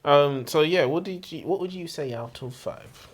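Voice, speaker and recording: A man's voice: fairly high pitch, moderate pace. A man co-hosting a book show in casual banter, teasing and emphatic, often repeating himself for effect. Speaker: co-host of a book review show.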